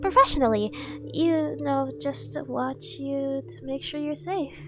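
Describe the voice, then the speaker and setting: A woman acting a high-voiced, obsessive yandere bodyguard. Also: measured pace, over music. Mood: adoring. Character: dorky, obsessively devoted yandere female bodyguard. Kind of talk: ASMR roleplay acting.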